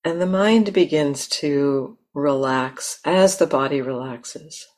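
Low-pitched, very slow woman guiding meditation. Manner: gentle, unhurried instruction with long pauses. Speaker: meditation teacher. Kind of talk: guided meditation talk.

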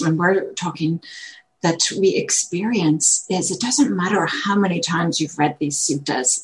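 Dharma teacher: woman with a slightly low voice, warmly enthusiastic and scholarly, online class. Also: low-quality recording. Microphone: video call.

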